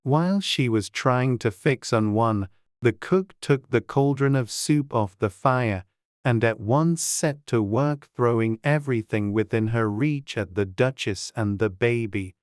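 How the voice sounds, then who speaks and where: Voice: medium pitch, unhurried, no echo, clean studio sound. An audiobook narrator reading a dramatized storybook.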